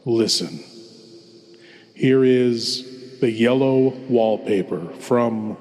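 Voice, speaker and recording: A man's voice: mid-range voice, very slow, a little mellow, faint music behind. A man playing a sinister, courtly horror host, speaking in a grandiloquent, ominous and theatrical way. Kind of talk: theatrical old-time horror introduction. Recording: long cavernous reverb.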